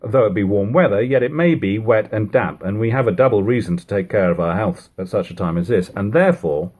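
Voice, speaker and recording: A man's voice: on the low side, a little fast, a little mellow, natural voice. A man voicing a defiant, practical ex-soldier turned baker in an audiobook of an old novel, speaking emphatically and argumentatively. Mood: practical, confident. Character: defiant, practical ex-soldier biscuit baker, plain-spoken Londoner.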